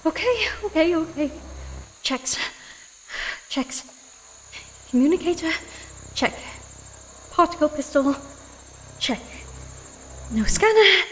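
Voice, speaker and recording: Woman playing nervous young spacefaring crewwoman, high pitch, stammering, audio drama, long reverb. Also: animated, fairly bright tone, a little hiss or hum, exaggerated voice. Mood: anxious, searching. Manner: halting, stammering under pressure. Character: young, rattled female space marine crewman, anxious and dutiful. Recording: long reverb, music underneath.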